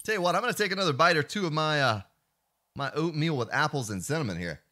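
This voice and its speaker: A man's voice: a little high, quick pace. A man streaming a survival game, chatting playfully with viewers and slipping into joking voices. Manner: playful, animated, drifts into mock voices and song snippets. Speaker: let's play streamer. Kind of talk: live gameplay commentary chatting with viewers.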